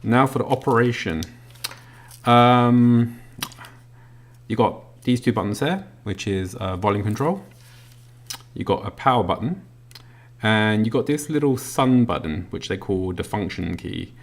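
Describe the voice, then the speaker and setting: Low gravelly British man unboxing. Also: measured pace, pauses often. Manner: relaxed, filler-heavy, talks while handling items. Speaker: product reviewer. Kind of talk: casual unboxing walkthrough.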